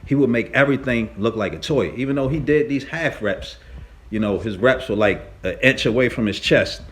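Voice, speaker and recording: A man telling a story casually as a lifting vlogger, with an animated, slangy and emphatic way of talking. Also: mid-range voice, normal speed. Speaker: fitness vlogger, powerlifter.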